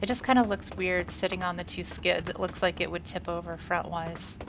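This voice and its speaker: A woman's voice: lowish voice, steady tone, normal speed, vocal fry, noisy background. Congested woman chatting through gameplay. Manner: chatty, upbeat, frequent "uh" fillers. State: congested after a workout and illness. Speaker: gaming video creator. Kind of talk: casual gameplay commentary.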